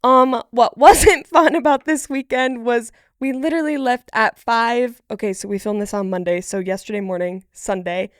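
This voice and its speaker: A woman co-hosting a podcast, chatting casually in an informal, expressive way. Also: fairly high pitch, normal speed, few pauses, no echo, clean studio sound. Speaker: podcast co-host.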